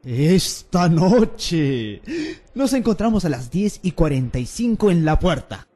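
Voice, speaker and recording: Guy acting hyped young student, theatrical radio drama, lightish husky voice, music behind. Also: a little high, very animated, slow, warm tone, full character voice. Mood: eager, plotting. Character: excitable, scheming young male student, easily spooked.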